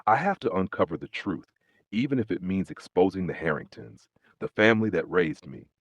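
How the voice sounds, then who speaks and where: Man reading as a low-voiced, earnest young woman. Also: lively intonation, brisk, dry recording. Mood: determined. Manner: soft, earnest. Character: gentle, determined, troubled young woman.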